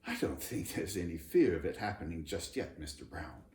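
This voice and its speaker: A man reading a children's storybook, voicing a kindly, knowledgeable gentleman who explains and reassures. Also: sits low, sing-song, moderate pace. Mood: reassuring. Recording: roomy echo, background noise.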